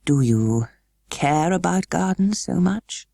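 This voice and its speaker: Woman doing a weary, creaky, melancholy gentleman. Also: lowish voice, lively intonation, no room sound, studio quality, heavily acted. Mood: soft, sad. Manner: slow, halting, worried, well-spoken. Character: weary, melancholy gentleman guardian, stiff but kindly.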